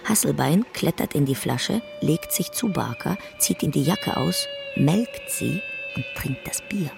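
An actor as a narrator reading stage directions in a radio play, in clipped, terse, theatrical phrases. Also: male voice, a little high, sing-song, a little slow, gravelly, over music, heavily acted. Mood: terse, reporting.

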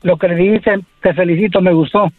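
A rambling, opinionated male caller from Costa Rica, heard over a tinny phone line. His voice sits high. Faint music plays behind him. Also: steady tone, a little fast, no echo. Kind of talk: phone call-in.

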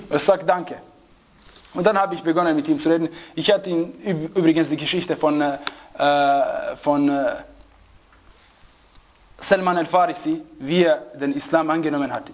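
A man preaching to a small group in an informal sermon, telling an anecdote and mixing in Arabic phrases. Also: on the high side. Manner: storytelling, lively, mixes in Arabic phrases. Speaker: preacher giving a religious lesson. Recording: dull lo-fi recording.

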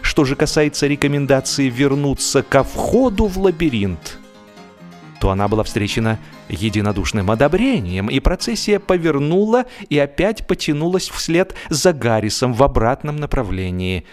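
Male narrator, medium pitch, theatrical humorous storytelling with measured pauses, light music underneath. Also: sing-song, darkish tone. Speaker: audiobook narrator.

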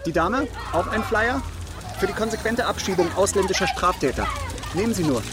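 An actor playing a gossipy, devoted political follower in a radio drama, talking in an eager, confiding way. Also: male voice, sits high, expressive, a little fast. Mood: admiring. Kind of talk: German radio drama acting.

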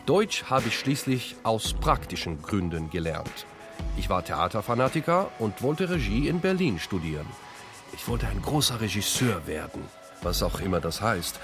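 An actor playing a reflective male author who narrates his own story in a radio play, deliberate and clearly phrased. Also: on the low side. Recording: music under the voice.

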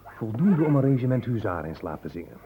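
A man's voice: mid-range voice, sing-song, fast talker, lo-fi sound, lightly acted. An actor playing an easygoing, wryly joking male police inspector in a Dutch radio play. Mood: wry, assured. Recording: faintly noisy old radio recording.

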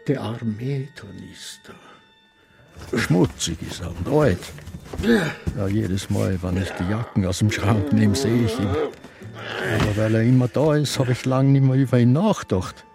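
An actor playing a confused, reminiscing elderly man with an Austrian accent, in a halting radio drama monologue. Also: on the low side, gravelly, music in the background. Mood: wistful, confused. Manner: halting, ruminative.

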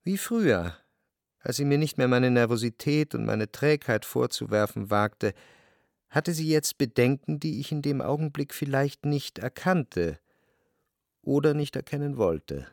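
An actor playing an introspective, analytical narrator in a literary radio drama, with a measured, reflective delivery. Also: male voice, medium pitch, expressive, creaky, darkish tone, dry recording, clean studio sound. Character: introspective, analytical male narrator looking back on love.

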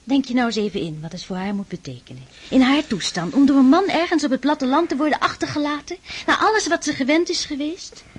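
An actress playing a shy, devout aristocratic sister in a Dutch radio drama, speaking earnestly and tenderly, with pleading admonishment. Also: female voice, high-pitched, animated, fast, few pauses. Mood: gently reproachful.

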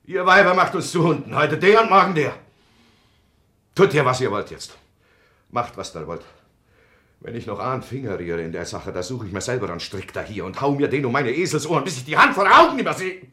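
A man's voice: mid-range voice, big pitch swings, a little fast, bright, forceful tone. A bitter, volatile village man. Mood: furious despair. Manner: accusing, explosive, then subdued. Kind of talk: German radio play, emotional stage acting.

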